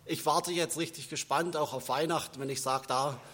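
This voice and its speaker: A man's voice: on the high side, fairly even intonation, normal speed, fairly bright tone. A man giving an Advent sermon in a church, talking in a conversational way and telling personal stories, with a German accent that has a northern standard touch. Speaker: preacher. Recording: long church reverb.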